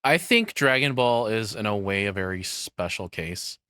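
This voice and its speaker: A man's voice: lowish voice, lively intonation, moderate pace, pushed and bright, no room sound, studio quality. A man giving casual reaction commentary in a rambling, sweary, exasperated way. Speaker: streamer reacting to a video essay.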